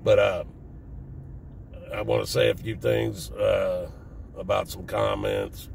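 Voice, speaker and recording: Southern US man, fifties, raspy and low, very gravelly; plain-spoken, slightly defensive vlogger talking off the cuff. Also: steady tone, slow, a little mellow. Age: 50s. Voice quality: raspy, deep smoker's voice. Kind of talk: casual personal talk to viewers. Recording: distant mic with faint background noise.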